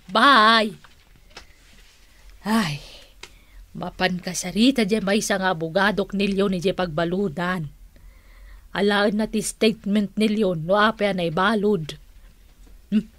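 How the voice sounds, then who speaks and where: A woman's voice: medium pitch, slow, pauses often, a bit bright, no echo. A woman playing a playful, theatrical auntie in a comic radio drama. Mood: businesslike.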